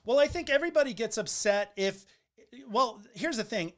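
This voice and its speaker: A flight attendant chatting casually, telling stories in an animated, frank way and laughing easily. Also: medium pitch, moderate pace, crowd noise. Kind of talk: casual conversation.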